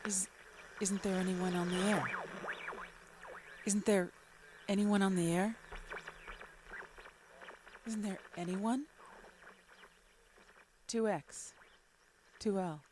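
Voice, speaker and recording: A woman playing a lonely, desperate radio operator in a radio drama, repeating calls into silence over clear noise. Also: medium pitch, steady tone, natural voice. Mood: pleading. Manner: slow, repetitive call-sign chanting and pleading.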